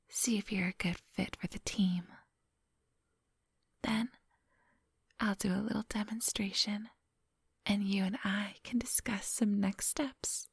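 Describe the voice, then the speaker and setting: A woman acts out an ancient female vampire in an ASMR roleplay, whispering very breathily and very close. Her delivery is slow and silky, with a faint gravel underneath. She sounds polite, condescending and quietly dangerous. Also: mid-range voice, no room sound, full character voice. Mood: polite, businesslike. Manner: slow, unhurried, silky and patronizing. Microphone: close microphone.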